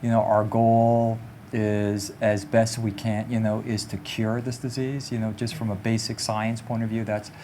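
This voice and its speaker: A man speaking as a doctor on a panel, measured, with several fillers. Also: on the low side, level tone, mellow, a little hiss or hum. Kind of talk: panel remarks.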